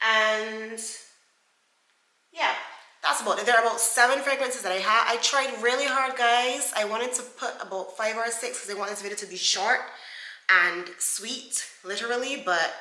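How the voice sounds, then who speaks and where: A woman's voice: mid-range voice, bright, forceful tone, reverberant, low-quality recording. A young woman from Barbados with a Barbadian accent, chatting casually to camera in a rambling way and calling her viewers guys. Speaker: beauty vlogger.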